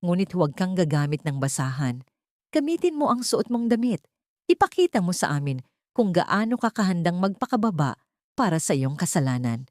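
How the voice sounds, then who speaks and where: A woman's voice: fairly low pitch, very animated, normal speed, a little mellow, dry recording, clean studio sound. A woman voicing a cruel, haughty older rich matriarch in an audiobook drama, imperious and scornful, with sweetness laced with venom. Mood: cruel commanding.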